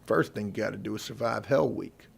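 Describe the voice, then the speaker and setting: A man playing a middle-aged veteran inmate who bosses the prison kitchen, in an ASMR role play with a gravelly, husky voice and a folksy, intimidating manner. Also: on the low side, exaggerated voice. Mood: warning. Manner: folksy, intimidating, drawn-out menacing delivery. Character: gruff, bossy veteran male prison inmate running the kitchen.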